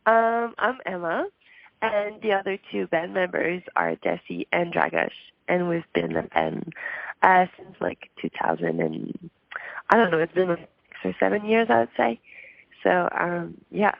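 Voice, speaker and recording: A woman with a hoarse, gravelly voice talks about her music, shy and full of fillers and soft giggles. The sound is dry and slightly dull, as from a lo-fi recording. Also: fairly low pitch, huge pitch swings, measured pace, no echo. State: hoarse from a throat issue. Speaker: musician guest. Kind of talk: casual interview answers.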